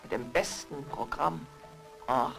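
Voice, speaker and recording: A woman's voice: extremely low pitch, slow pace, creaky, faint music behind, exaggerated voice. A woman playing an effusive, gossipy former nightclub hostess, no longer young, with a gushing, theatrical manner in a horror radio play. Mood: wistful.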